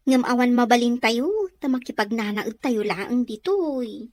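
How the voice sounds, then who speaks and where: A woman's voice: fairly high pitch, animated, a little slow, a little mellow. A woman with a Filipino accent playing an earnest, deferential nurse in a radio drama. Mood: hesitant. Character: deferential female nurse caring for her boss, earnest. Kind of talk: Ilocano radio drama acting.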